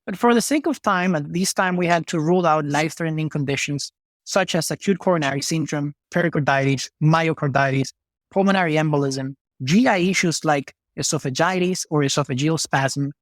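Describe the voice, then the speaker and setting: A man with a slightly high voice works through clinical details in order, one item at a time. His voice is close and dry, with no room sound around it. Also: fairly quick, dry recording. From Venezuela. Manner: methodical, listing clinical details. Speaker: cardiology fellow, guest. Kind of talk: case presentation on a podcast.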